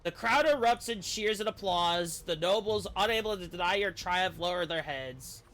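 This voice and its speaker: A man's voice: sits high, moderate pace, fairly bright tone, low-quality recording. A man streaming a game, reading the story text aloud with casual commentary and the odd stumble over words.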